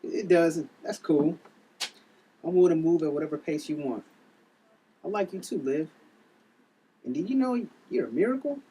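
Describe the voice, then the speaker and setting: Easygoing young admirer actor at a table read, very mellow, dark tone at a higher male pitch, off-mic. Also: expressive, moderate pace, long pauses, natural voice. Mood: tender. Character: smitten, easygoing, supportive young man. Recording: distant mic, faint background noise.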